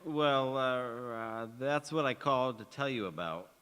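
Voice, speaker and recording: A man's voice: mid-range voice, measured pace, fairly bright tone, clean tone, natural voice. A man playing a sheepish, bumbling husband who hesitates nervously, in an old-time radio comedy. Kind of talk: old-time radio sitcom acting, live on stage. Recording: echoey hall, live stage performance.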